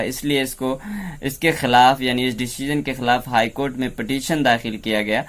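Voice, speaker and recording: A man giving explanatory commentary, laying out points step by step in a didactic way and mixing in English words. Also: medium pitch. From India. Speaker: presenter of a political analysis video.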